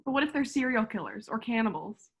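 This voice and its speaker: A woman playing a timid, doting wife with a husky voice at a script reading. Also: fairly high pitch, lively intonation, quick pace. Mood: anxious, doting. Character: timid, doting wife riding as truck passenger. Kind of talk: script table read.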